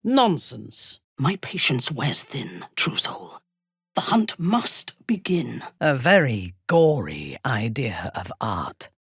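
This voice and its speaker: A woman playing an imperious, ruthless dark elf warrior in a fantasy game, haughty and menacing, with a husky, breathy voice and measured delivery. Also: rumbling low, big pitch swings, no room sound, studio quality, full character voice. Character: imperious, ruthless female drow warrior and cult commander. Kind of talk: dramatic fantasy game acting.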